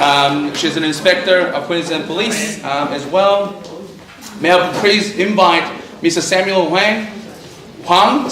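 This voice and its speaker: A man's voice: sits high, background noise. A man moderating a panel forum, speaking hesitantly with many ums and uhs. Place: community forum venue. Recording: very echoey hall, mic at a distance.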